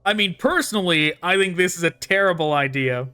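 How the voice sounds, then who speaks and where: High-pitched, bright-voiced man gaming. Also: expressive, brisk, clean tone. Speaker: gaming streamer. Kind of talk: casual let's play commentary.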